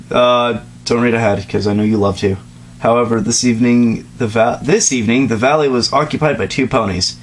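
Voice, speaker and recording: A man's voice: mid-range voice, slight background noise. A sarcastic eighteen-year-old man reading a story aloud casually, tripping over words and adding joking asides. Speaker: co-host reading fan fiction aloud. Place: small bedroom, indoors at night.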